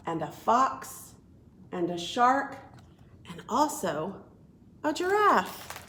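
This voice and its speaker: A woman reading a picture book aloud to young children as a children's librarian, expressive and deliberate. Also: medium pitch, slight background noise. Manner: expressive, deliberate, asks listeners questions. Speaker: children's librarian and storytime reader.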